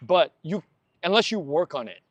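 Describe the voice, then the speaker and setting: Easygoing guest in his forties, talky and repetitive, a touch high-pitched. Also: lively intonation, moderate pace, dry recording, lo-fi sound. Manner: chatty, repeats words, leans on fillers. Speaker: podcast host, guest. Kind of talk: casual conversation while walking. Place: outdoors on a walk.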